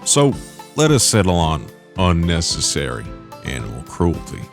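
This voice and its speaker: A man playing a gentle, modest young cowboy who tends animals, with folksy talk, in an amateur audio drama. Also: low voice, wildly swooping pitch, normal speed, faint music behind, full character voice. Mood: modest, thoughtful.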